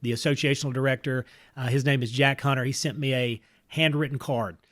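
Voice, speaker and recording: A middle-aged man from North Mississippi, an earnest missionary recounting his path in a relaxed interview, with fillers and restarts. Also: medium pitch, brisk. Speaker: missionary and church planter. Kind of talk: casual interview storytelling.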